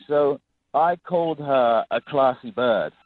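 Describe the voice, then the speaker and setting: A man's voice: medium pitch, takes it slowly, no room sound. A man from England with an English accent, chatting casually as a caller to a radio show over the phone. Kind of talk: casual conversational storytelling. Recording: thin phone line.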